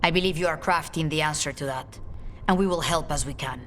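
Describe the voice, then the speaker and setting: An actress playing a composed, shrewd crime-guild leader in a video game, speaking in measured, formal phrases. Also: female voice, low voice, a bit bright. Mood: calm determination. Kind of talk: video game cutscene acting. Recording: music behind the voice.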